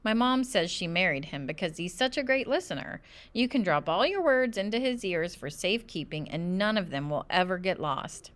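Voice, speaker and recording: A woman reading a children's book aloud as an expressive narrator. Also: lowish voice, moderate pace. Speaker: storybook reader.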